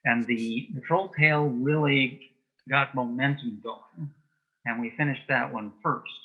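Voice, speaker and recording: A man with a dry, deadpan sense of humour, telling anecdotes and jokes as an interview guest, in a husky voice. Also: medium pitch, a little slow, phone-quality sound. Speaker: interview guest, author and former folklorist.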